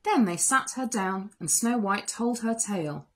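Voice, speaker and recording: A woman narrating a children's story aloud in an expressive storytelling style. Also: mid-range voice, measured pace. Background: music.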